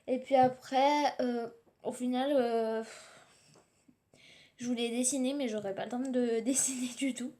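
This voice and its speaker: A tired, slightly hoarse young woman, rambling casually through a home vlog on her tablet, full of fillers and restarts. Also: on the high side, steady tone, unhurried, long pauses, warm tone. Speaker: vlogger. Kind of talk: casual daily vlog chat. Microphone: tablet camera.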